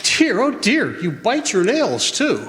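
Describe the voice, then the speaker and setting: A man's voice: high voice, huge pitch swings, heavily acted. Guy doing cheeky kindly old santa type, radio play, voice goes up and down a lot, echoey hall. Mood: gently needling. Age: older. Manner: unhurried, gently needling, hesitant asides. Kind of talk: stage radio drama acting. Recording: live hall reverb, faint noise.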